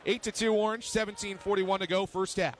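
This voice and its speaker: A man's voice: sits high, fairly even intonation, a little fast. A young man calling live basketball play-by-play for radio as the announcer. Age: young adult. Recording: noisy basketball arena behind voice.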